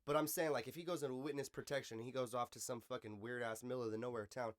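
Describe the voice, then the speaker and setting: An American man chatting casually as a podcast host in street slang, with swearing and a loose, halting delivery. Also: medium pitch, steady tone.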